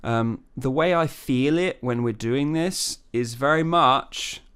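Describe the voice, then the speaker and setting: A man teaching as a voice coach, talking through technique in a relaxed way, with many fillers and restarts. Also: mid-range voice, slow pace, clear, resonant tone. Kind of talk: singing lesson with sung falsetto demonstrations.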